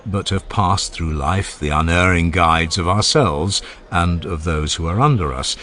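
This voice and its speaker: A man narrating an audiobook of a classical philosophical dialogue in a measured, formal and emphatic way. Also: low voice. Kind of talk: dramatized scripted reading of a classical philosophical dialogue.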